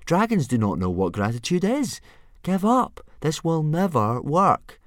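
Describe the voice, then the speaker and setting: A man puts on a nervous, bookish Viking boy with a raised, fretful voice. His pitch jumps around lively as he insists on the worst. The recording is close and dry. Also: measured pace, no echo.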